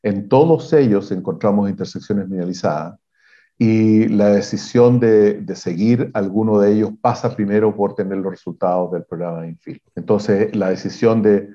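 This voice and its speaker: A man, a mining exploration executive, giving measured technical answers in an interview, pausing often with fillers and restarts. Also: on the low side, dark tone, highs cut off. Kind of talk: interview answers on a video call.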